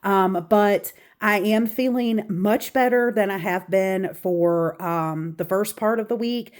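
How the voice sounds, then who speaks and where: A woman's voice: mid-range voice, slow, darkish tone. A woman with a Southern American accent, congested and stuffy-nosed, chatting casually as she walks through her craft room for her vlog. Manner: chatty, with frequent ums and asides. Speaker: craft channel host. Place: home craft room, walking around.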